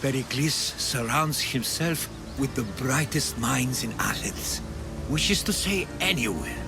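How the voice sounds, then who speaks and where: An actor playing a wise Greek historian and mentor in a video game, solemn, eloquent and deliberate. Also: male voice, medium pitch, animated, creaky, music in the background. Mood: persuasive. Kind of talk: video game cutscene acting.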